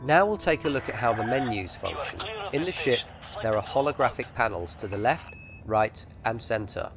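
Male tutorial narrator for game add-on, scripted explanatory read, animated intonation, music underneath. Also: medium pitch, normal speed. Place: voice-over with music behind.

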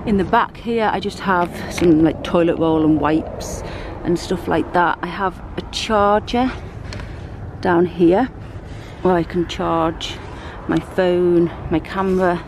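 A British woman in her thirties giving a relaxed, chatty walkthrough of her car, filmed on a handheld camera. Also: fairly low pitch, takes it slowly, noisy background. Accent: British English. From United Kingdom. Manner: chatty, relaxed, lots of fillers. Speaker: vlogger. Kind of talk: casual show-and-tell walkthrough. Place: inside and around a car, outdoors by the sea.

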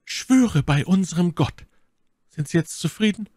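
Man playing a manipulative noblewoman prisoner, high-lifted voice, theatrical, very expressive. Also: unhurried, no echo, studio quality. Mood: insistent. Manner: dramatic, pleading and scornful. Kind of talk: audiobook character reading.

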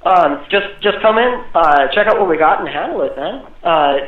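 Male store employee on a phone, fairly high voice, casual slangy talk, thin narrow sound. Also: expressive. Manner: slangy, easygoing, halting. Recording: tinny, echoey phone line.

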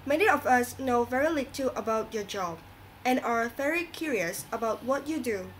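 A woman's voice: on the high side, animated, moderate pace, slight background noise, natural voice, poor audio. A woman playing an earnest, moralizing talk show host in a scripted radio drama, reading didactically.

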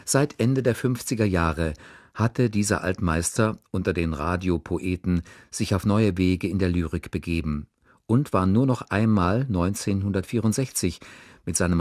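A deep-voiced man announces a radio programme in a measured, formal manner. The sound is close and completely dry. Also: a little mellow, no echo. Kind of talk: scripted broadcast introduction.

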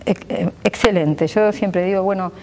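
Argentine woman in her sixties, mellow, roomy. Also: medium pitch, normal speed, slight background noise. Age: 60s. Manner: earnest, fluent, gesturing with her hands. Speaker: physician and local politician, interview guest. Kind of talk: broadcast interview answers. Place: glass-walled pavilion with garden behind.

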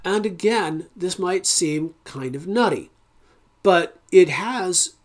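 Slow, halting middle-aged male lecturer. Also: sits high, lively intonation. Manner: unhurried, thinking aloud with many ums and uhs. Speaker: philosophy instructor. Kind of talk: recorded online lecture. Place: home study with bookshelves. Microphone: webcam at desk.

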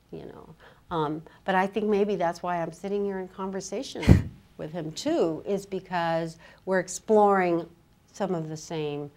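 A woman's voice: on the low side, darkish tone. Older woman in an onstage conversation. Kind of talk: casual literary panel conversation. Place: on stage in an auditorium before an audience.

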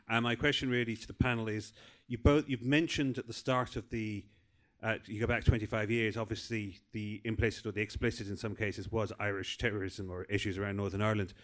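A man, a borough councillor, asking a measured question from the audience on a handheld microphone. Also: on the low side. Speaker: audience member. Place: conference room.

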